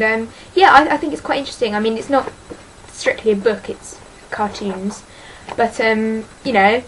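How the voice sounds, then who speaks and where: A woman's voice: fairly high pitch, slight background noise, poor audio. A teenage girl chatting casually about books in a vlog, rambling and hesitant, full of ums and sort-ofs, self-deprecating. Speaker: book vlogger.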